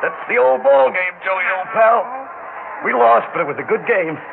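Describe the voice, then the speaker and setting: A man's voice: high-pitched, lively intonation, moderate pace, gravelly, heavily acted. An actor with an American accent playing a fond, childlike working-class father and baseball fan in a 1960s radio drama, chatty and folksy. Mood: eager, fond. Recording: noisy lo-fi old radio recording.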